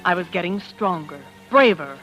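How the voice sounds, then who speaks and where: An actress playing a shaken young wife who narrates a murder discovery in a hushed, dramatic 1940s radio style. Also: female voice, fairly low pitch, expressive, normal speed, rough recording. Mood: haunted, tense. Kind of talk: 1940s radio acting, first-person suspense narration. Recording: old radio recording with music underneath.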